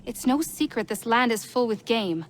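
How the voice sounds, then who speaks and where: A woman's voice: fairly high pitch, expressive, moderate pace, a little hiss or hum, natural voice. An actress playing a proud, hardy frontier huntswoman in a video game, direct and matter-of-fact.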